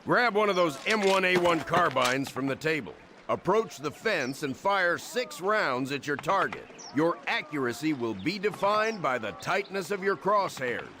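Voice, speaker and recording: An actor playing a stern, no-nonsense World War II army sergeant with an American accent, instructing a recruit in a military game. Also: male voice, fairly high pitch, lively intonation, normal speed, few pauses, lightly acted. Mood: firm, instructive. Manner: commanding, no-nonsense. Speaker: weapons training sergeant. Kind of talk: military game tutorial dialogue. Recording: noisy, gunshots and clicks behind.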